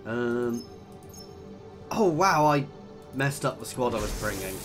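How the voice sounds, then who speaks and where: A man in his thirties commentating a strategy game, husky and tired from a cold, chatty and thinking aloud with lots of ums. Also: medium pitch, animated, takes it slowly. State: hoarse with a cold. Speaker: gaming video host. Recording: voice over game music.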